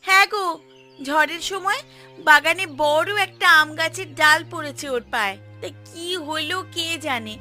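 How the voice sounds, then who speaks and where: A woman voicing sharp-tongued village women in a Bengali cartoon, with a Bengali accent. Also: high voice, moderate pace. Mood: earnest, worried. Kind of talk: cartoon voice acting. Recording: music behind the voice.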